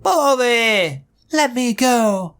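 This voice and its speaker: Male performer as a high, shrieking damsel reporter, panicky, comic dub. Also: animated, slow pace, fairly bright tone, clear, resonant tone, exaggerated voice. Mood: alarmed. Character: shrill frightened young woman reporter.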